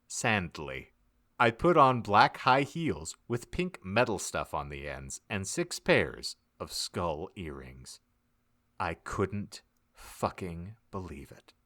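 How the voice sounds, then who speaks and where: A man with a fairly low, forceful voice narrates a comic audiobook. His reading is theatrical and mock-serious, with lively swings in pitch. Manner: theatrical, deliberate emphasis. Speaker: podcast narrator.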